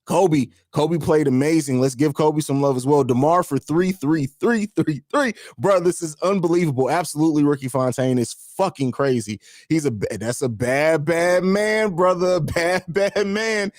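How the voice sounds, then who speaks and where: A hoarse man with a raspy voice hosting a live fan stream, talking emphatically and repeating himself, with casual swearing. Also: on the high side, moderate pace, few pauses, a little mellow, no room sound, clean studio sound. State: hoarse from screaming. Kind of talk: excited sports fan stream chat.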